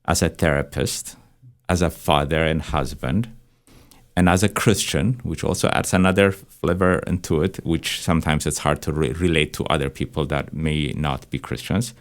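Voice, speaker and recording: Man, very low pitch, reflective, discursive, conversational. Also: steady tone, moderate pace. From Ethiopia. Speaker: church counseling director and therapist, panel guest.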